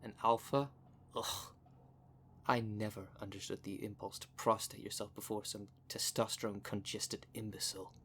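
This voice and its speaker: A man's voice: lowish voice, little pitch movement, normal speed, a bit bright, a little hiss or hum. A man voicing a vain, flirtatious, snobbish werecat socialite in a scripted roleplay spoken to the listener, with a pompous, haughty manner. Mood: disdainful. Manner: pompous, haughty, smooth-talking. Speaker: voice actor in audio roleplay.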